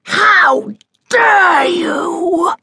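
A woman's voice: very high-pitched, wildly swooping pitch, drawn-out words, vocal fry, no room sound, clean studio sound, voice pushed up, full character voice. A woman voicing a blustering, hot-tempered older male duke who bellows his lines in an audiobook. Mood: furious. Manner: bellowing, shouting. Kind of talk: audiobook character voice.

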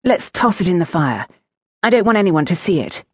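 A woman's voice: lowish voice, very animated, fairly quick, breathy edge, no echo, studio quality. A woman voicing an indignant, haughty fifteen-year-old aristocratic schoolboy, curt and defensive, in an audiobook. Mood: uncertain.

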